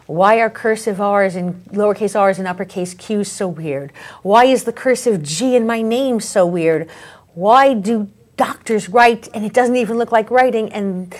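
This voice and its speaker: A woman who is an author and handwriting expert, guest on an interview show, explaining in a precise, articulate way. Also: medium pitch. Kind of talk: interview conversation.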